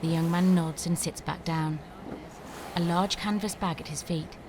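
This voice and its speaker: A woman's voice: on the low side, steady tone, measured pace, background noise. A woman reading scripted screenplay stage directions as the narrator of an audio drama.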